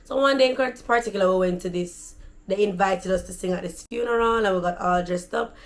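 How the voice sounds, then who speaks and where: A woman with a Jamaican accent and a deep, raspy voice, telling stories in a chatty, animated vlog. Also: fairly quick. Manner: animated, chatty, slips into patois. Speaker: vlogger. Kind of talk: casual storytelling.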